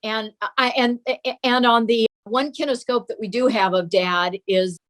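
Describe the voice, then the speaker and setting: A woman's voice: fairly high pitch, fairly even intonation, a little slow, fairly bright tone. An older woman as an interview guest, casually reminiscing in a chatty way and repeating words for emphasis.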